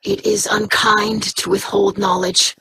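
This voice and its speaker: An actress playing a formal, earnest young alien scribe in a video game, speaking precisely. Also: female voice, mid-range voice, little pitch movement, measured pace, bright, forceful tone, slightly rough, dry recording, heavily acted, low-quality recording.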